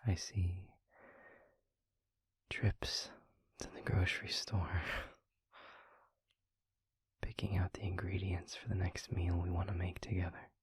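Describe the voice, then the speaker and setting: A man playing a tender, wistful demon lover in an intimate audio roleplay, whispering softly and haltingly in a breathy, husky voice, close to the mic. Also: sits low, flat delivery, no room sound, heavily acted. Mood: dreamy, loving. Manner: slow, halting, soft-spoken delivery. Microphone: close microphone.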